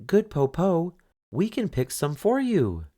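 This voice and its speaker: Man putting on a high-pitched clever little girl. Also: huge pitch swings, slow, mellow, exaggerated voice. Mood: sweetly coaxing. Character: clever eldest young girl, sweetly cunning.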